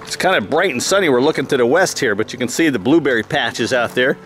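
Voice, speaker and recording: A man's voice: medium pitch, animated, quick pace, a bit bright. A man films a casual travel vlog outdoors, talking from behind the camera. He is chatty and likes to tease and joke. Music plays behind his voice. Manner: jokey, teasing, chatty. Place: outdoors at a winery patio and park trail. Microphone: handheld camera mic.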